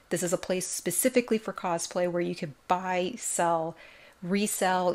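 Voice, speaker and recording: Low raspy woman co-host, tired but enthusiastic, casual and emphatic. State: tired from talking. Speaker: podcast co-host. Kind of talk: casual chat.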